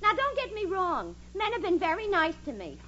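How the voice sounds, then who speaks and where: A woman's voice: very high voice, wildly swooping pitch, moderate pace, exaggerated voice. An actress playing a cynical, man-hungry hairdresser with brassy wisecracks in a 1940s radio comedy. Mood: wry. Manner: brassy wisecracks, calls everyone dearie. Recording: muffled noisy old radio recording, studio audience.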